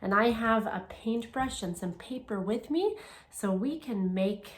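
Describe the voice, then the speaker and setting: Slow, high-voiced woman teaching young children. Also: animated, background noise. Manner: encouraging, simple and deliberate, addressing young children with questions. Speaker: elementary school language teacher. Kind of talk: children's read-aloud lesson.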